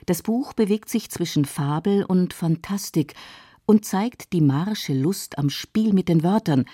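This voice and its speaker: A woman's voice: fairly low pitch, unhurried, warm tone, husky, dry recording, clean studio sound. A woman reading scripted narration for a radio feature.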